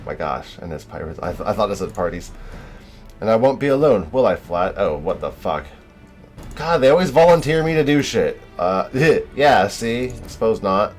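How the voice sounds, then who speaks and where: A man's voice: on the low side, sing-song, normal speed, faint music behind. A man streaming a game live, reading the dialogue aloud, restarting words and swearing and laughing in asides.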